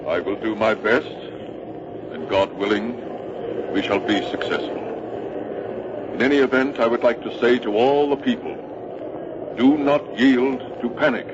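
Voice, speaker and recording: An actor playing a dignified, burdened Revolutionary War commander, speaking in measured, formal 1940s radio-drama style. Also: male voice, medium pitch, natural voice. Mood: grave, resolute. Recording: noisy, dull old radio broadcast.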